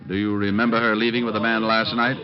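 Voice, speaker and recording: A man's voice: on the low side, flat delivery, fast, highs cut off, a crowd in the background, lightly acted. An American man playing a sardonic, cool-headed private detective in 1940s radio drama style, with dry, flippant delivery. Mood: probing, dry. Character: wisecracking, cool-headed male private detective narrating his case. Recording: band-limited vintage radio recording with music.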